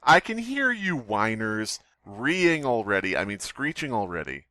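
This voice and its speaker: A man's voice: fairly low pitch, wildly swooping pitch, unhurried, bright, forceful tone, dry recording. A man co-hosting a podcast, chatting casually and reading aloud with irreverent, sweary joking. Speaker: podcast co-host.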